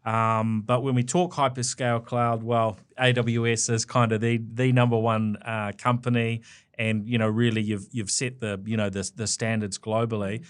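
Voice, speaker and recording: Smooth-voiced New Zealand podcast host. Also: male voice, medium pitch, level tone, normal speed. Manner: loose, many fillers and restarts. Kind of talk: casual interview chat.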